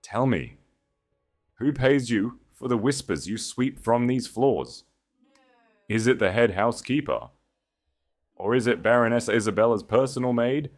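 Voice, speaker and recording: A man voicing a proud, cunning grand duchess with a calm, measured authority in an audiobook romance. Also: medium pitch, pitched above the performer's own voice, close to an everyday voice. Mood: commanding.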